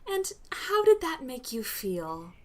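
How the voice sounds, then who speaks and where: A female therapist in a radio comedy. Her voice is high and swings in pitch, yet she questions slowly and politely, gently probing and encouraging. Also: wildly swooping pitch, heavily acted.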